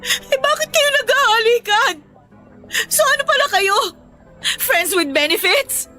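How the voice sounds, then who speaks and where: A woman's voice: very high-pitched, lively intonation, measured pace, bright, forceful tone, soft background music, heavily acted. A woman with a Filipino accent playing a betrayed, hot-tempered young fiancée in an emotional, melodramatic radio drama style. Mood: jealous fury. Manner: melodramatic, emotional, pleading and accusing.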